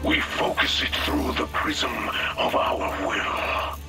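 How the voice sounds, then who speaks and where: Weary alien war leader for a game, male actor. Breathy rasp, very drawn-out delivery, solemn, loud score behind. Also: mid-range voice, close to an everyday voice. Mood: weary, resolute. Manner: grave, deliberate, halting storytelling. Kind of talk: dramatic video game monologue. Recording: echoing voice over loud music.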